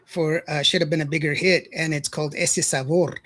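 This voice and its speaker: High-voiced male podcast host. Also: steady tone, brisk. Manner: reads listener comments, warm shout-outs. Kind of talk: casual interview chat.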